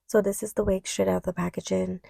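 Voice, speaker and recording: A woman's voice: medium pitch, flat delivery, fast, creaky, warm tone, slightly rough, no echo, clean studio sound. A woman beauty vlogger with a slightly stuffy voice, chatting casually and gushingly to viewers about a product. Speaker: beauty vlogger reviewing wigs. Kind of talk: casual chat to viewers, product unboxing voice-over.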